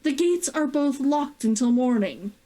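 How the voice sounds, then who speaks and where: A woman's voice: sits high, lively intonation, unhurried, dark tone, voice pushed up. A woman voicing an exasperated, firm male town healer who is losing his temper in a story read aloud. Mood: exasperated, reasoning.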